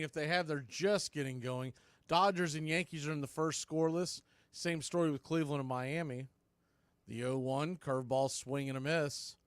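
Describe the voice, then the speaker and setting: A man doing live baseball play-by-play on radio, speaking in clipped phrases with pauses between calls. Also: fairly high pitch, smooth, no echo.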